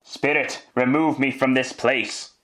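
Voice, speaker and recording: An old miser in torment , played by a man, begging desperately in the manner of a classic radio play. The voice is raised well above the actor's low narrating register, urgent and pleading. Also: steady tone, measured pace, fairly bright tone, echoey room, natural voice. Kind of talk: classic radio drama acting.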